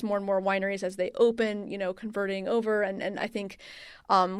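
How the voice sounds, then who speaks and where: Woman, interview guest, middle pitch, smooth clear voice, casual chatty delivery, rambling phrasing, dry sound. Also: dry recording. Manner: chatty, rambling, frequent "like" and "you know". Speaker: interview guest, wine region marketing manager. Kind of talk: casual conversational interview answers.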